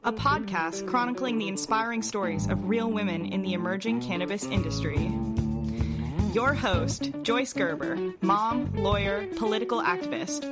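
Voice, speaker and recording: A woman reading a scripted podcast intro, smooth and inviting. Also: medium pitch, moderate pace, loud music behind the voice. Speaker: show announcer.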